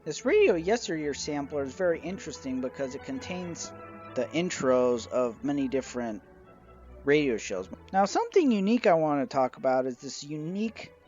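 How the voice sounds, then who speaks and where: A man presenting a collection review in a measured, semi-scripted narration, moving through it item by item. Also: medium pitch, sing-song, a little mellow. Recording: dull, lo-fi audio with music behind.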